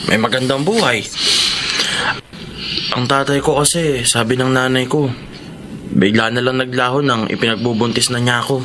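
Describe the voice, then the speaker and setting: A man's voice: mid-range voice, steady tone, moderate pace, long pauses, lightly acted. An actor playing a respectful, wistful young male co-worker in a Filipino radio drama. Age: young adult. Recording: noisy ambient background.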